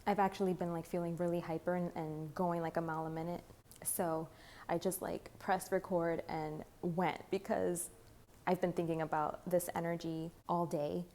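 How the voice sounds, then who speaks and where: Low, mellow-voiced woman doing a tarot reading. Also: little pitch movement, normal speed, slight background noise. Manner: rambling, uses "like" and "you know" often. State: slightly hoarse. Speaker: tarot reader. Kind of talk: channeled spiritual monologue.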